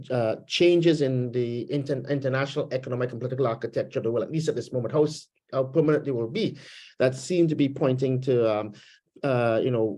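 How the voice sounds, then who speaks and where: A man with a Trinidadian accent speaking as a webinar panelist, measured and thoughtful, pausing often with fillers over a video call. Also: mid-range voice, warm tone. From Trinidad and Tobago roots. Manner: measured, pauses with many fillers. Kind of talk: panel discussion, political commentary. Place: home, Canada.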